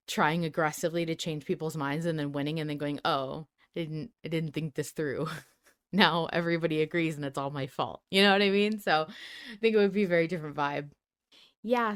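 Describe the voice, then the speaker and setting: Woman podcast host, smooth lowish voice, chatty, self-interrupting, casual live discussion. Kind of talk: casual live discussion reading listener comments.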